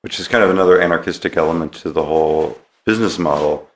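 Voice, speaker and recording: A man's voice: sits low, fast talker. A man hosting a podcast, interviewing conversationally in a tentative way, rephrasing as he goes.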